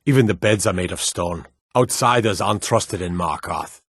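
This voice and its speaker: Husky, low-voiced stern city guard. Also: male voice, moderate pace, dry recording, clean studio sound. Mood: gruff, matter-of-fact. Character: gruff, watchful fantasy city guard, proud and warning. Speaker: video game voice actor. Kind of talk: scripted fantasy game dialogue.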